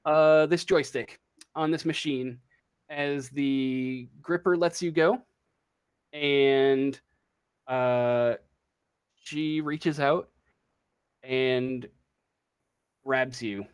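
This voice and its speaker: A man narrating a tabletop game as game master, halting and searching for words as he improvises. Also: mid-range voice, fairly even intonation, clear, resonant tone.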